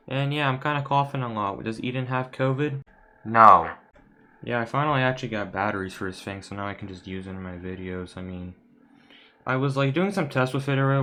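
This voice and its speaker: Low-voiced young American man, rambling casually. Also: bright, forceful tone, a little hiss or hum. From United States. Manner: informal, rambling, offhand. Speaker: video creator. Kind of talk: casual rambling commentary to viewers. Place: room at home.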